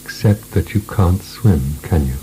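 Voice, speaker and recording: Deep, dark-voiced phantom philosopher. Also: male voice, soft background music, low-quality recording. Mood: calm, needling. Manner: measured, taunting, quietly insinuating. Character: phantom philosopher companion, calmly needling, probing, superior. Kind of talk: radio drama acting.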